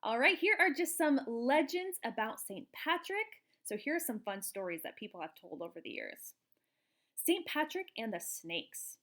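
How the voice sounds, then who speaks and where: A woman reading a picture book aloud to young children in an expressive, explanatory way, like a teacher. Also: a little high, moderate pace. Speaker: children's storybook reader, teacher.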